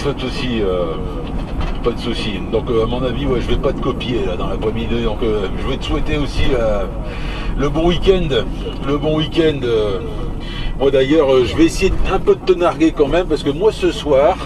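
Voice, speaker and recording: A man's voice: mid-range voice, lively intonation, moderate pace. A man chatting casually on CB radio, hesitant and often repeating his phrases. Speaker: CB radio operator, channel regular. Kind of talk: casual French CB radio chat. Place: in or beside a vehicle, outdoor countryside. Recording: noisy roadside recording with vehicle hum.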